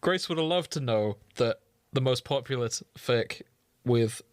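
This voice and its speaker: A man's voice: mid-range voice, measured pace, no echo. A man co-hosting a casual podcast, chatting in a jokey, irreverent and sweary way. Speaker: podcast co-host. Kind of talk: casual banter.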